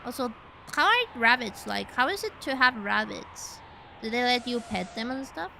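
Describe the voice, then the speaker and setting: Bright, gravelly-voiced woman streamer. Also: a little high, expressive, moderate pace, a little hiss or hum. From Chile. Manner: chatty, exclamatory, swears casually. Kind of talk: casual gaming livestream chat.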